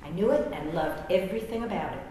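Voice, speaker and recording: A woman's voice: on the low side, lively intonation, fast, dark tone. A middle-aged woman with an American accent reading a personal essay aloud, with wry, self-deprecating, conversational asides. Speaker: author and English professor. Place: large reverberant room at a live reading. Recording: very echoey hall, faint background noise.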